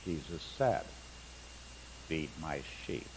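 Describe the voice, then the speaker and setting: A man's voice: very deep voice, expressive, takes it slowly, a little mellow. A middle-aged male pastor preaching a sermon in a church sanctuary, reading scripture aloud with rising emphasis. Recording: long church reverb, faint hum.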